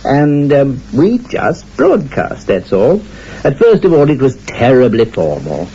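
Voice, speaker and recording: A droll radio pioneer of the 1920s, acted by a man, with a mellow, husky voice that swings widely in pitch. He reminisces wittily, halting and digressing as he goes. The sound is dull, like old radio. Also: huge pitch swings, slight background noise. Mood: wry reminiscing. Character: witty, rambling 1920s radio engineer reminiscing. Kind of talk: humorous reminiscence in a radio play.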